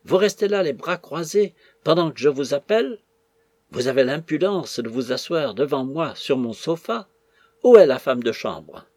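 A man's voice: fairly high pitch, expressive, moderate pace, a little mellow, dry recording. A man voicing a furious, haughty lady of the house with imperious outcries in an audiobook.

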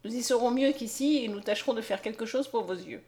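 Lady reading as a gentle old doctor, soothing and measured, storybook style. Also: medium pitch, lightly acted. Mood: kindly.

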